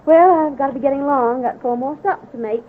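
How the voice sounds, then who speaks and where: An actress playing a kindly, busy young visiting nurse in a 1930s radio drama. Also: female voice, high-pitched, fast, a little hiss or hum, natural voice. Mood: kindly, brisk. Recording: tinny 1930s radio recording.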